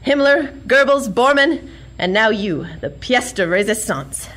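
A woman's voice: fairly high pitch, very animated, measured pace, a bit bright, slight background noise, rough recording. A woman playing a brash, wisecracking young American patriot heroine with an American accent in old-time radio theater. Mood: taunting. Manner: brash, sardonic. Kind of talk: 1940s-style radio theater acting.

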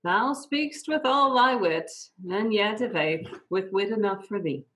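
A young woman reading the part of Lady Macduff, a bitter, sharp-tongued noble mother, in a slow, theatrical home play reading over a video call. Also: mid-range voice, lightly acted. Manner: slow, theatrical line reading with wide swings. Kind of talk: amateur read-aloud of Shakespeare. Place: room at home. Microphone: laptop mic on a video call. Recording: dull lo-fi video call audio.

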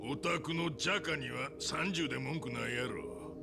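An actor playing a brash, scheming middle-aged yakuza boss in a video game, with a Kansai accent and a gravelly, blunt delivery. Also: male voice, a little high, normal speed. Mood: smug, bargaining. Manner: blunt, swaggering. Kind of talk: dramatic video game acting. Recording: music behind.

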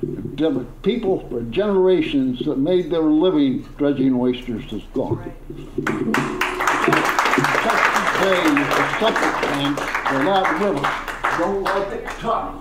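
A man's voice: fairly high pitch, lively intonation, slow pace, echoey room, a loud crowd, very noisy. Blunt, agitated older man at a hearing. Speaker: public commenter. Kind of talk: impassioned public comment. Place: meeting hall at a podium. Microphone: podium microphone.

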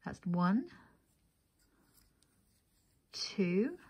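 A woman's voice: fairly low pitch, big pitch swings, drawn-out words, darkish tone, slightly rough. A woman narrating a step-by-step craft tutorial as the instructor, methodical and counting steps aloud.

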